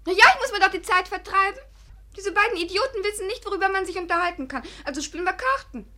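An actress in a German radio play voicing a bratty, spoiled child film star of about twelve, pert and defiant. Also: female voice, very high-pitched, expressive, fast talker, pushed and bright, heavily acted. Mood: bored, pert.

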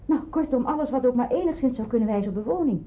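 A practical woman in hiding, in a radio play. Her voice is highish and swings in pitch as she briskly explains. The sound is thin, like an old phone line. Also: expressive. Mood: earnest, careful. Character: practical, helpful woman in hiding, briefing a newcomer. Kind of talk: Dutch radio drama acting.

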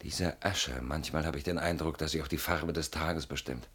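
A man's voice: very deep voice, steady tone, moderate pace, a bit bright, husky, exaggerated voice. An actor playing a weary, bitter middle-aged man in a theatrical German radio drama monologue, brooding and mocking, swinging between muttering and outbursts. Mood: weary, subdued. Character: weary, bitter man haunted by a betrayed old friend.

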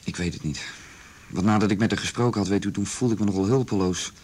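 An actor in a radio drama playing an uneasy, earnest schoolboy witness who speaks hesitantly and respectfully. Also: male voice, lowish voice, quick pace, a little mellow, slight background noise, natural voice. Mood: troubled. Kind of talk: Dutch radio drama acting.

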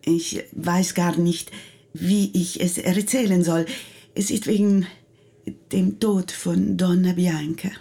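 A woman's voice: fairly low pitch, fairly even intonation, slow, vocal fry, dark tone, breathy edge, heavily acted. An actress playing a troubled, earnest Sicilian-born housekeeper and mother in a German radio drama, with an Italian accent and a hesitant, emotional delivery. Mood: hesitant, secretive.

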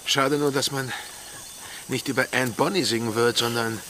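An actor playing a bold, wry male pirate with a gravelly voice in a German radio play. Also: mid-range voice, steady tone, normal speed, pushed and bright, a little hiss or hum, natural voice. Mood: wry, scheming. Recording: music behind the voice.

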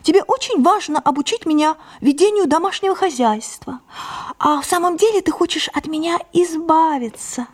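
An actress voicing a mischievous, sulky, tearful young girl in an audio drama, theatrical and breaking into breathy whispers. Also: very high-pitched, moderate pace, voice pushed up. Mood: mischievous, accusing. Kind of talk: audio drama stage acting.

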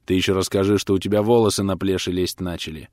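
A man voicing a grumpy, sarcastic retired engineer in an audiobook, with gruff, crude, grumbling speech. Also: low voice, little pitch movement, quick pace, a little mellow, dry recording, studio quality, close to an everyday voice. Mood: dismissive.

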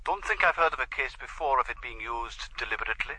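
Bright, brisk, knowing police doctor. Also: male voice, mid-range voice, expressive, breathy edge, exaggerated voice, rough recording. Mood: knowing, relishing. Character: brisk, confident male police doctor, relishing his findings. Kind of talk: radio drama acting.

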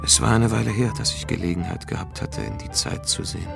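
An actor playing a world-weary space traveller, narrating a radio drama in a dry, reflective, wry way. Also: male voice, deep voice, quick pace, vocal fry, music in the background. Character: world-weary male space traveller looking back on his journey.

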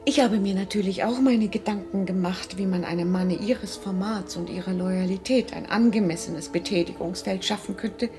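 A woman's voice: mid-range voice, moderate pace, few pauses, lightly acted. Woman acting a middle-aged lady boss, formal, courteous, authoritative, radio drama, music underneath. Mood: businesslike. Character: composed, businesslike female employer with a grown son.

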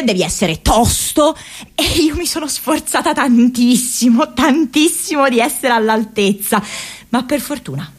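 An actress playing a restless, playful, intense college-age young woman in a radio theatre monologue, confessional and halting with dramatic pauses and bursts. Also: fairly high pitch, breathy edge. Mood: eager.

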